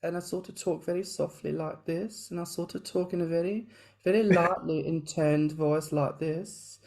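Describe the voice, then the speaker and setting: A man putting on a South African accent, playing a soft-spoken South African man with a light, gently intoned voice. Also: fairly high pitch, steady tone, gravelly, pitched above the performer's own voice. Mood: playful. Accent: put-on South African. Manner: slow, gentle, playful delivery.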